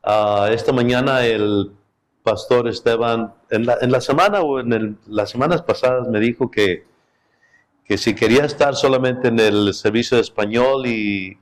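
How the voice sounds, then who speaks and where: A middle-aged man, a guest pastor whose first language is Spanish, speaking in a relaxed, chatty way as he opens a sermon in a church. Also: mid-range voice, measured pace. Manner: relaxed, chatty and joking with the congregation. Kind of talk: sermon opening. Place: church sanctuary.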